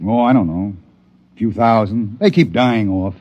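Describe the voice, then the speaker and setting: An actor playing a gruff, suspicious miner father on a Mars frontier in old-time radio drama, with a brusque, folksy way of talking. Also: on the low side, normal speed, lo-fi sound, lightly acted. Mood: weary, gruff. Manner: brusque, commanding. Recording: vintage radio drama recording.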